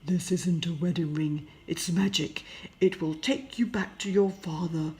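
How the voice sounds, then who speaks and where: A woman voicing a gentle, grave Beast. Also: on the low side, steady tone, a little slow, natural voice. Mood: earnest. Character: gentle, lovelorn male Beast from a fairy tale.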